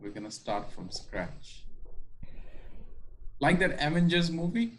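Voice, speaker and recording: A man chatting on a video call with friends, talking in a relaxed, rambling way. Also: on the high side, slow pace, slight background noise. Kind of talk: casual chat on a video call.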